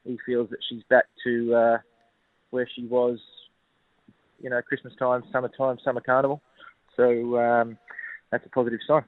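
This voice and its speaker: An Australian man, a racehorse trainer, speaks over a phone line that sounds thin and tinny. His delivery is flat and unhurried, padded with ums and uhs. The sound is dry with no room around it. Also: medium pitch, no echo. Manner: relaxed, plenty of ums and uhs. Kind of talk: phone interview.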